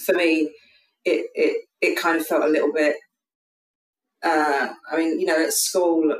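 A woman in her thirties with a London accent speaks hesitantly, picking her words with plenty of ums and soft restarts. She sounds far from the microphone, and her voice rings in an echoey room. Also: low-pitched, little pitch movement, measured pace. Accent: London English. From England. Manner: hesitant, thoughtful, many fillers. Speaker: guest. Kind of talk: casual conversation. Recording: distant mic in an echoey room.

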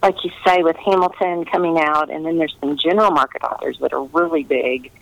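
Middle aged woman author being interviewed, creaky voice, earnest n halting, dry call sound. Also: deep voice, steady tone, no room sound, highs cut off. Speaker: interviewed historical novelist. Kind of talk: conversational interview answers.

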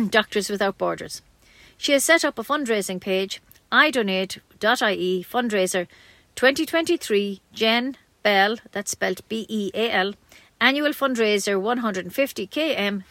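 An Irish woman reads the news for a community radio station. Her voice sits at a middling pitch for a woman. The sound is dry, and she delivers scripted local items as a newsreader. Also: fairly bright tone, no echo.

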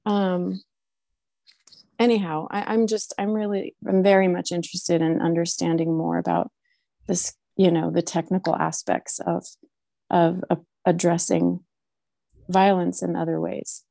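A woman introducing her interests to a group meeting, halting often with fillers and restarts. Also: lowish voice, fairly even intonation, vocal fry, warm tone, no room sound. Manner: hesitant, many fillers and restarts. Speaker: meeting participant. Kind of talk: casual video-meeting introduction.

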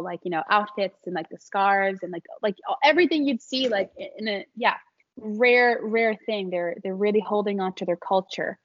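A woman's voice: mid-range voice, dry recording, dull audio. A young adult woman, a small business founder, talking casually as an interview guest, chatty and laughing often with many fillers.

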